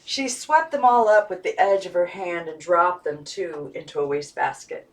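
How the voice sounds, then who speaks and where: A woman's voice: fairly low pitch, moderate pace, low-quality recording. A woman giving scripted narration of a storybook as an amateur audiobook reader.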